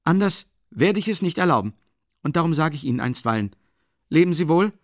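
Man playing a defiant, irritated young man, high and loud, audiobook reading. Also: animated, a little fast, no echo, studio quality. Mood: nearly shouting. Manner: clipped, sharp, nearly shouting. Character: irritable young male student, tense and defiant.

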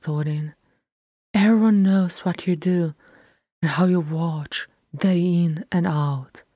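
A loving, firm dwarf mother of a grown son in a fantasy audiobook, acted by a woman. She speaks in a low, measured voice with stately authority and affection. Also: mellow, dry recording, clean studio sound.

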